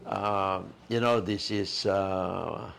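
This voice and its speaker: A man co-hosting a talk show, speaking haltingly with many pauses and filler sounds. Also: deep voice, fairly even intonation, vocal fry, a bit bright, slight background noise. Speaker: co-host, attorney.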